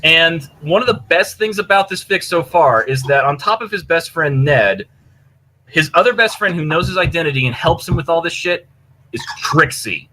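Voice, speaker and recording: A man with a bright, punchy voice talks as a podcast co-host. His delivery is casual and rambling, full of enthusiasm and loose swearing. There is some noise behind him. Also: on the high side, animated, normal speed, low-quality recording. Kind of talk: casual chat recommending fan fiction.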